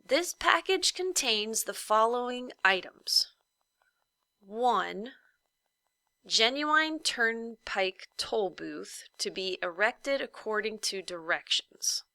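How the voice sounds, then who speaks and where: A woman voicing a formal printed notice in a whimsical storybook, official and measured, drawing out key words. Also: a little high, very animated, pushed and bright, dry recording, heavily acted. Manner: official, measured, drawn-out emphasis.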